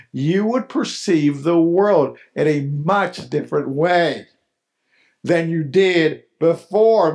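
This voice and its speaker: An older male pastor delivers a sermon in a small chapel hall, picked up from a distance. His voice is high for a man and his pace is unhurried. He repeats phrases for weight and builds to pointed questions. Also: a little mellow. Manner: repeats key phrases, rises to emphatic questions.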